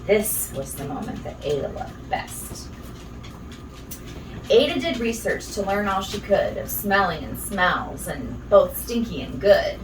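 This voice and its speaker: A woman's voice: mid-range voice, a little slow. Woman reading a bedtime story, roomy sound. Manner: animated, rhythmic delivery with asides to children. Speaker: storyteller reading a children's picture book. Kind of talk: expressive bedtime story read-aloud. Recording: roomy, distant mic with faint noise.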